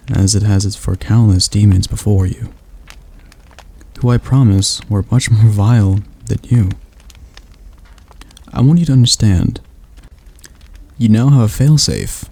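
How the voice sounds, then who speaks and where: A man voicing a patient male demon tutor who teaches magic in a calm, gently commanding ASMR roleplay. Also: on the low side, slightly rough. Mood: instructive.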